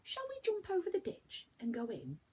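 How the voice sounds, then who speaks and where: Woman voicing an eager, excitable young girl. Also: very high voice, normal speed, pitched above the performer's own voice, full character voice. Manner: eager, wondering, exclaiming. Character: excitable, curious young country girls.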